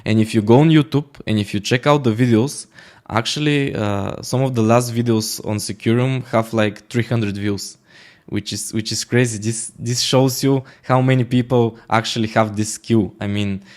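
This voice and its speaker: A man's voice: mid-range voice, steady tone, moderate pace, a little mellow. A man from Bulgaria, a Bulgarian native speaker talking in English, interviewed as a guest, speaking casually with many fillers and restarts. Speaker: guest, smart contract auditor.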